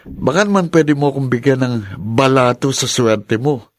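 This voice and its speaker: An actor playing a greedy, flattering young adult son in a radio drama, wheedling and smug. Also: male voice, mid-range voice, moderate pace, dry recording, close to an everyday voice.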